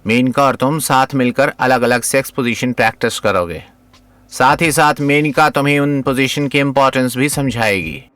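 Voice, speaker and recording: Man acting a forceful, confident coach. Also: medium pitch, moderate pace, no room sound, lightly acted. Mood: instructive. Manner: commanding, instructive. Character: Rishiraj, confident, stern male coach of intimacy. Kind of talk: Hindi audio drama acting. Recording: music behind the voice.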